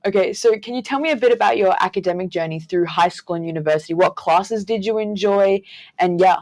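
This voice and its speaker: A woman's voice: mid-range voice, fairly quick, a little mellow. A woman hosting a podcast interview, asking questions and encouraging her guest with short affirmations. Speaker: podcast host.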